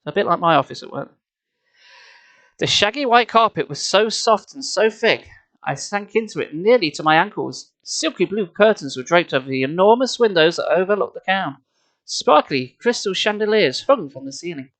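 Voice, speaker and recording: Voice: mid-range voice, animated, moderate pace, fairly bright tone. A storyteller reading a children's book expressively, slipping in casual, wry and mocking asides. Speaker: storyteller, live streamer.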